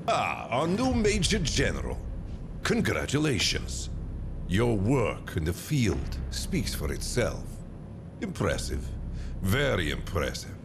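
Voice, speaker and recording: An actor playing an ambitious young dynasty leader who speaks in a measured, grandiose way. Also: male voice, on the low side, wildly swooping pitch, vocal fry, noisy background, heavily acted. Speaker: faction leader. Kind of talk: game cutscene acting.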